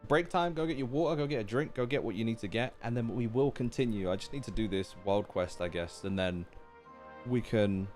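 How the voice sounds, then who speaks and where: A man's voice: mid-range voice, a little fast, darkish tone. A man giving casual, slangy, chatty commentary as he streams a game, with music faintly behind him. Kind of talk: casual reaction commentary while gaming.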